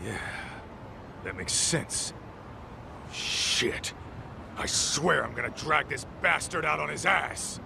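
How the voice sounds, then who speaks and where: A gravelly male tough guy in a game cutscene, blunt and streetwise. He drawls slowly with big swings in pitch, a loyal but rough sidekick. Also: expressive, background noise, exaggerated voice. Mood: gruff, irritated. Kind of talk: English video game dub acting.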